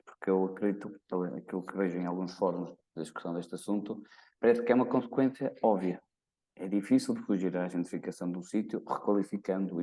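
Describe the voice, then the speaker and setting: Deep, mellow-voiced man hosting a podcast. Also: rough recording. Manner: reflective, tentative, many hedges. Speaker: podcast host. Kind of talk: podcast interview.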